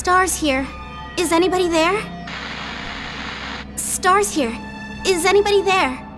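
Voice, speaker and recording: An earnest young female special forces medic. Her voice sits very high and she speaks directly. The tone stays sincere throughout. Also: a little slow, over music.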